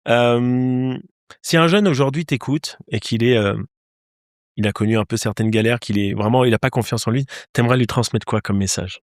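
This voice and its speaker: A man's voice: medium pitch, moderate pace, no room sound, clean studio sound. A man hosting an interview, asking questions and chiming in with friendly, encouraging replies, outdoors. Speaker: interviewer host. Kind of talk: casual interview chat. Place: outdoors with a view of a landscape.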